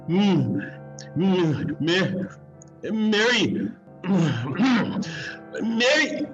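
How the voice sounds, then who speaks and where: Old reformed miser, radio drama, very high swooping voice, bright, stammering, theatrical. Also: male voice, big pitch swings, very slow, muffled recording, soft background music, heavily acted. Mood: giddy relief. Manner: melodramatic, breathless stammering repetition.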